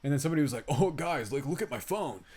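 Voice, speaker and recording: A man's voice: on the high side, lively intonation, fast talker, darkish tone, breathy edge. A man co-hosting a casual film review podcast, speaking in an emphatic, exasperated way and swearing freely. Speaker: podcast co-host reviewing films.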